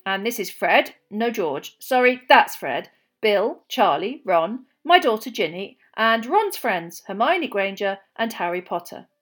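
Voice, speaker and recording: A woman's voice: mid-range voice, big pitch swings, a little slow. Woman doing a chatty, cheerful dad. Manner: chatty, enthusiastic. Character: cheerful kindly middle-aged father.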